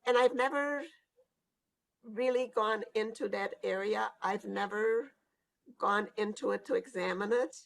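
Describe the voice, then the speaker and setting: Slow, gravelly-voiced elderly woman. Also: mid-range voice. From Hungary. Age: older. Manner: slow, reflective, hesitant with pauses and fillers. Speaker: Holocaust survivor giving testimony. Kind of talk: recorded oral history interview.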